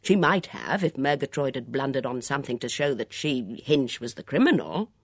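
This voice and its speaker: A woman's voice: very deep voice, big pitch swings, moderate pace, no room sound, studio quality, exaggerated voice. A woman voicing a cocky, argumentative young Englishman in an audiobook, eager and emphatic in manner.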